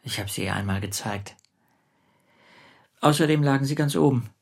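An actor playing a gentle, ashamed middle-aged husband in a German radio drama, speaking with polite restraint. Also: male voice, mid-range voice, normal speed, gravelly, husky, no room sound, studio quality. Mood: subdued, polite. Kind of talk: German radio drama, introspective narration and dialogue. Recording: music behind the voice.

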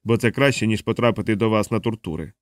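A man's voice: on the low side, fast, no echo, clean studio sound, close to an everyday voice. A man voicing a defiant, desperate castaway who speaks bitterly and accusingly in an audiobook story. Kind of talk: audiobook character dialogue.

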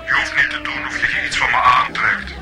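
A deeply gloomy robot acted out by a man, forever complaining in a martyred way. It speaks at an ordinary male pitch in a science-fiction radio comedy, with prominent music underneath. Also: little pitch movement, a little fast, lightly acted. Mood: gloomy, aggrieved. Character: gloomy, self-pitying male robot with a vast brain. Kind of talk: comic science-fiction radio drama acting.

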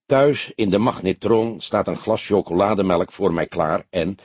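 A man reads the part of a grey-haired old police detective in an audiobook. He is weary but earnest and kindly, measured and emphatic, with a low, husky voice. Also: a little mellow, no echo.

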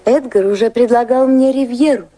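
Actress as a high-voiced, wry society woman. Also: moderate pace, warm tone, natural voice. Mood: arch, ironic. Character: wry society woman, sceptical yet tender, being courted. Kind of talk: radio drama acting.

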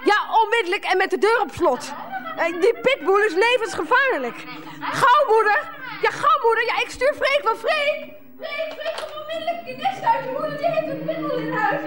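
Exasperated wife, high and swooping. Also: female voice, normal speed, crowd noise. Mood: panicked. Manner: sardonic, scolding, excitable. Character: exasperated, sarcastic middle-aged wife, given to alarm. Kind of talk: radio play acting.

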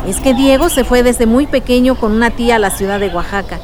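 Actress as young mexican woman telling her story, highish voice, birds and outdoor noise behind. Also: a little fast, lightly acted. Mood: reflective. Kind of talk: radio drama conversation.

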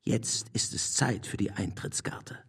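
An actor playing a sly, domineering Nazi propaganda minister, mocking and insinuating, in a radio play. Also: male voice, measured pace, very noisy, lightly acted. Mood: sly, menacing. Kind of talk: German radio play acting.

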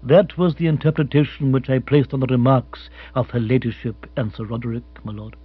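A man voicing an imperturbable butler in a comic audiobook, formal, measured and deferential. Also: mid-range voice, fairly even intonation, slightly rough, dry recording, lightly acted. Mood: unruffled, deferential. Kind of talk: comic audiobook character acting. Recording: muffled recording with hum.

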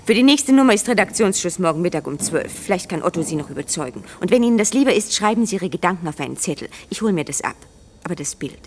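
A woman's voice: lowish voice, expressive, fast talker, slight background noise, close to an everyday voice. A woman in a German radio play acting a persistent works-newspaper reporter, officious and coaxing in manner. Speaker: actor.

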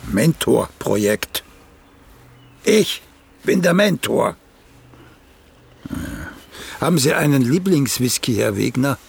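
An actor in a German radio play voicing a vain, needling elderly playwright who speaks in a pompous, deliberate way. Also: male voice, mid-range voice, very animated, gravelly, husky, exaggerated voice. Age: older. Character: vain, cantankerous elderly once-celebrated playwright.

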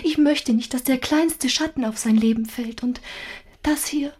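An actress playing a desperate aristocratic wife in a radio drama, with formal, breathy, anguished delivery. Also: female voice, sits high, a little slow, a little mellow. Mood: tearful pleading. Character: desperate aristocratic lady, wife pleading for her secret. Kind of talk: German radio drama acting.

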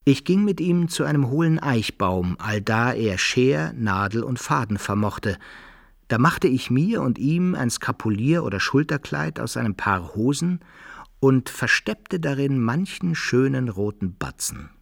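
An actor playing an adventurer who recounts his rough exploits in an articulate storytelling manner, in archaic German for a radio play. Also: mid-range voice, expressive, a little slow, no echo, studio quality. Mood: narrating, grim.

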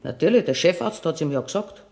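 An actress with a Bavarian accent playing a talkative, protective hospital nurse in a German radio drama. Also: female voice, low-pitched, animated, quick pace, mellow. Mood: chatty, deferential. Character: chatty, protective female hospital nursing sister.

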